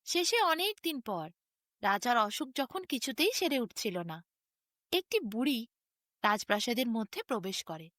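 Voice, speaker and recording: A woman's voice: a little high, very animated, unhurried, a bit bright, no room sound, clean studio sound. A woman narrating a fairy tale as a bedtime story, with a sing-song storytelling delivery. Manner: sing-song storytelling with wide rises and falls.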